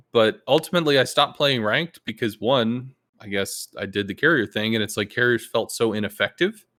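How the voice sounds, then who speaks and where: Laid-back, cussing male podcast host. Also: a bit bright. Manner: laid-back, profane, acts out quoted players in sudden high outbursts. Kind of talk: casual gaming chat.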